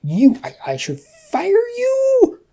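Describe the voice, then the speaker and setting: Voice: extremely high pitch, wildly swooping pitch, takes it slowly, dark tone, pitched above the performer's own voice, full character voice. A storyteller voicing a petulant, bossy, childish male office boss who complains indignantly.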